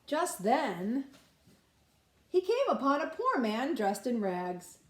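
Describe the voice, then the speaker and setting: A woman reading a children's storybook aloud like a nursery teacher, pausing to put simple questions to listeners. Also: high voice, sing-song, reverberant.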